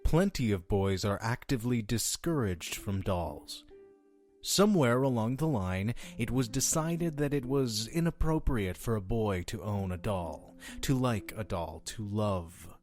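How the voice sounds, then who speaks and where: Man playing a theatrical innkeeper, very animated pitch. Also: measured pace, soft background music. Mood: gentle storytelling. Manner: effusive, talking over his guest. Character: chatty, theatrical, pushy male innkeeper of a mysterious inn. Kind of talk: audio drama acting.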